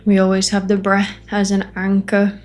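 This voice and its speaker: A woman facilitating a guided meditation, offering gentle invitations in short phrases with long pauses between them. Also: mid-range voice, monotone, soft background music. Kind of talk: guided meditation, spoken softly to listeners.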